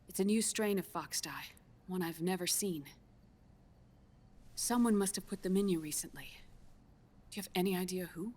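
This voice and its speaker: An actress playing a grave, guarded scientist in a video game, measured and solemn, with a resigned air. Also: female voice, lowish voice, darkish tone, lightly acted. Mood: grave, clinical. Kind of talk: dramatic video game voice acting. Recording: musical score underneath. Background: dramatic game soundtrack music.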